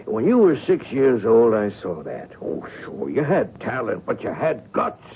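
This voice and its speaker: A man's voice: medium pitch, huge pitch swings, unhurried. An actor playing a blunt, folksy, devoted father who coaches and nags, in a radio drama. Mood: insistent, rousing. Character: gruff, pushy, devoted father and coach, middle-aged or older. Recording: tinny phone-like old radio broadcast, faint noise.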